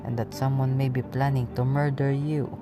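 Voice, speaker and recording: A man playing a stolid, patronizing police desk sergeant in a radio play, placating and unhurried. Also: medium pitch, flat delivery, warm tone, soft background music, natural voice. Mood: dismissive, reasoning.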